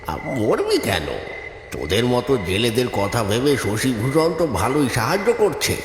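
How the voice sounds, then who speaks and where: A man voicing a gruff, brusque older village uncle who scolds in drawn-out colloquial talk for a cartoon. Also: mid-range voice, wildly swooping pitch, noisy background. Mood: gruff, reassuring. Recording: echoey reverberant studio with music behind.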